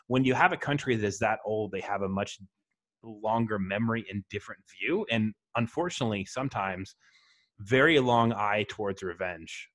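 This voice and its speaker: A man hosts a casual podcast interview in a conversational way. His voice is on the low side. He asks open questions and drops jokey asides between them. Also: moderate pace, a bit bright. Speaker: podcast host.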